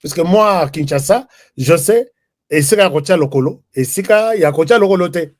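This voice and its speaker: Casting: man, talk-show host, slightly high voice, dry close sound, loose chatty delivery. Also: expressive, normal speed, no room sound. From DR Congo. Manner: chatty, switches between French and Lingala, reads viewer comments. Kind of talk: live political commentary show.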